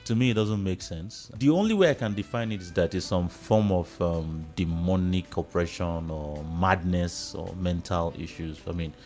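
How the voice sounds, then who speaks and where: A man with a Nigerian accent speaking as a panellist in a radio discussion, explaining his views in a reflective, halting way. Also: deep voice, a little mellow, soft background music.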